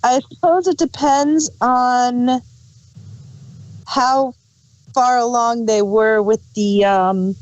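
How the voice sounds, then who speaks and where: A woman's voice: fairly high pitch, steady tone, takes it slowly, long pauses, dull audio. A woman chatting casually as a review co-host, chipping in with brief, offhand remarks. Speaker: podcast co-host reviewing audio drama. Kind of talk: casual chat.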